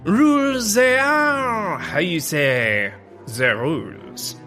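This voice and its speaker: A woman's voice: lowish voice, drawn-out words, a bit bright, faint music behind. A woman playing a haughty, fussy French maitre d' in a comic audio drama, with a French accent. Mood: snooty, officious. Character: snooty French maitre d' of a posh club.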